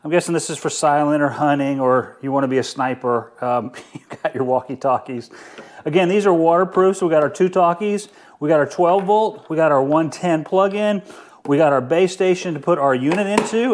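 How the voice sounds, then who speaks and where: A man's voice: a little high, animated, fairly quick. A man talking casually through a product unboxing and review, informal and easygoing, thinking aloud as he goes.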